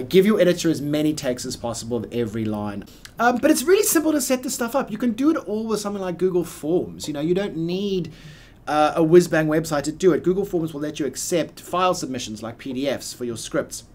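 A man's voice: a little high, a little mellow. A man in his forties giving a casual, plain-spoken explanation in an interview, with emphatic asides. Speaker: radio play producer explaining the process. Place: small office or studio room by a window, indoors.

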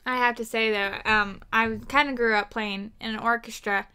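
A woman's voice: a little high, steady tone, normal speed, bright, forceful tone, smooth. A woman co-hosting an interview, asking and remarking in a casual conversational way. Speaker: interviewer, co-host.

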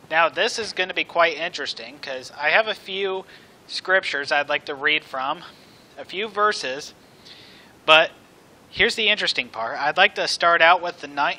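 A man preaching a sermon from a church pulpit, speaking in earnest, emphatic phrases with deliberate pauses. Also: a little high, pushed and bright, rough recording.

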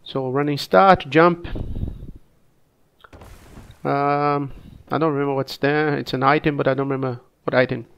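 A man with a cold casually commenting on his own gameplay, relaxed and halting, coughing now and then. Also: medium pitch, gravelly, smooth, muffled recording. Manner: relaxed, halting, frequent restarts and fillers. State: sick, coughing.

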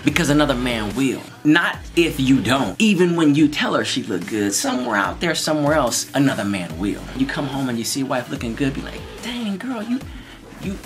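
A man's voice: mid-range voice, a little fast, soft background music. A man, chatting playfully to the camera in a small room and giving marriage tips. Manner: animated, playful, jokes and repeats lines. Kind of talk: casual to-camera chat. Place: small bright room indoors. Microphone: camera in front of him at close range.

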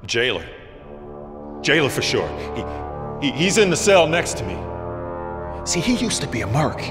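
An excitable, fawning prison inmate in a game. His voice swoops wildly in pitch, rambling and crude, jumping from bursts to mutters. It rings in a large hall over loud music. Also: normal speed. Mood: uneasy, gossipy. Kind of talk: cinematic video game acting.

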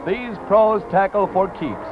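A muffled vintage newsreel track, music strong behind the voice. An American man narrates in a rousing, punchy announcer style, pitched very high for a man. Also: unhurried, a faint crowd. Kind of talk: 1940s newsreel narration and commentary. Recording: dull lo-fi 1940s newsreel soundtrack. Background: loud music.

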